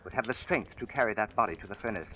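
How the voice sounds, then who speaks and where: Smooth sinister accuser in old radio play, lowish voice, taunting, deliberate, lots of crackle. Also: male voice, expressive, bright, forceful tone. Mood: cool accusing. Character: shrewd, mocking male accuser, secretly a sinister returned spirit. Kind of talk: 1940s-50s radio drama acting. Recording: noisy, crackly vintage radio recording.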